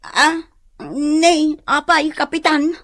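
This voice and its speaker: Very high-pitched flustered Ilocano gossip. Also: female voice, little pitch movement, slow, fairly bright tone, no room sound, clean studio sound, exaggerated voice. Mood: flustered, evasive. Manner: stammering, feigned innocence, whiny exclamations. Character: flustered, evasive female village gossip. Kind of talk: Ilocano comedy radio drama acting.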